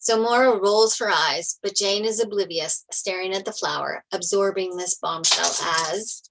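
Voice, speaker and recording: A woman's voice: medium pitch, moderate pace, creaky, very noisy. A woman reading stage directions as narrator in a table read of a TV script.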